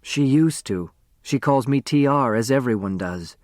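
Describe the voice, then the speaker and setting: A man plays a loyal female lawyer from a storybook. She speaks in a matter-of-fact way with gentle reassurance, at a middling pitch. The recording is very dry. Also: animated, measured pace, no room sound, natural voice. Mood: reassuring. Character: adult woman lawyer, loyal, brisk, tenderly reassuring.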